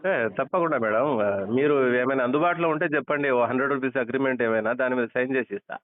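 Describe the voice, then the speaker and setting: Man, medium pitch, brisk colloquial teasing delivery, customer on a call, narrow phone-line sound. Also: steady tone. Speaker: customer answering a phone survey. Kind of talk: casual phone conversation. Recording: muffled, band-limited phone call recording.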